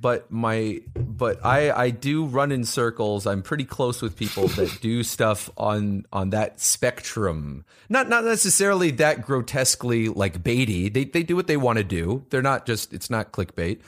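A man's voice: mid-range voice, lively intonation, a little slow, few pauses. A man in his thirties co-hosting a podcast, talking casually and rambling with frequent restarts and fillers. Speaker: podcast co-host.

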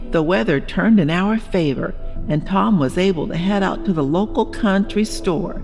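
Elderly wife, horror-story diarist, unhurried, creaky, genteel and worried, music underneath. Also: female voice, fairly low pitch. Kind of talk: horror audio story, journal narration.

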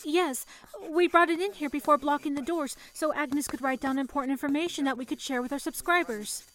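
High female voice, an actress as young 1930s switchboard operator, polite, earnest, composed, radio play style. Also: brisk, a bit bright. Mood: attentive. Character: young 1930s telephone operator, calm, earnest woman saving for college. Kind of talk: old-time radio drama acting.

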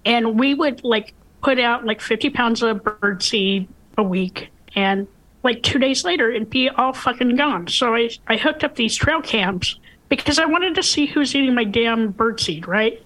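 A woman's voice: on the high side, lively intonation, a little slow, a bit bright, low-quality recording. A woman co-host chatting casually, blunt and swearing freely. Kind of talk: casual chat.

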